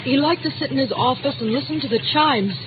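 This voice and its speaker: A woman's voice: high voice, fast, background noise, lightly acted. An actress playing an anxious, earnest woman in a 1950s radio drama, urgent and hesitant. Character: anxious, earnest woman helping a reporter search. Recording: tinny old radio recording, music behind.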